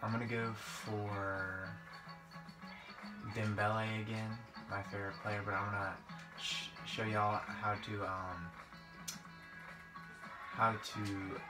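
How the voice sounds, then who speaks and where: A man's voice: lowish voice, rough recording. A young man doing casual gameplay commentary in a hesitant, rambling, informal way. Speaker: gaming video creator. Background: guitar music.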